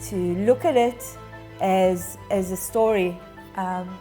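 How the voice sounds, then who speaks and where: A woman in her fifties giving a reflective, halting and earnest personal account in a documentary interview. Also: medium pitch, mellow. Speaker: interviewee, bereaved daughter. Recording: music underneath. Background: music.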